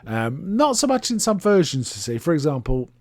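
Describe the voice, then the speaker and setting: A man hosting a casual solo review, talking in a halting, rambling way and often correcting himself. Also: on the high side, sing-song, dry recording. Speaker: host reviewing film and television.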